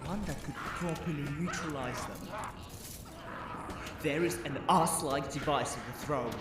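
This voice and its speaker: A man's voice: a little high, measured pace, natural voice. Young hero for a man: wry, thinks aloud, lightly raised voice, heard at a distance. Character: resourceful young male hero, quick-thinking. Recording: echoey, distant, noisy.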